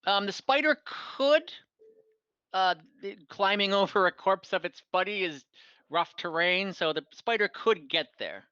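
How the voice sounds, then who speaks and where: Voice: mid-range voice, expressive, a little slow, sharp tone, smooth. A game master running an online tabletop game, improvising narration and often thinking aloud with hesitation. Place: room, online voice call. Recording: muffled, echoey room.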